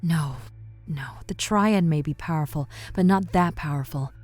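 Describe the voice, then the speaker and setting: A woman playing a caring, cautious and scholarly young woman in an audio roleplay drama, with a gentle, pensive manner. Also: deep voice, moderate pace, soft background music.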